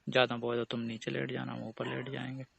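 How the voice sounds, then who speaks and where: Gravelly, husky young man, teasing. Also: medium pitch, flat delivery, quick pace, fairly bright tone, no echo. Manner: teasing, persistent questioning. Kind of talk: intimate casual phone chat. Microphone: phone call.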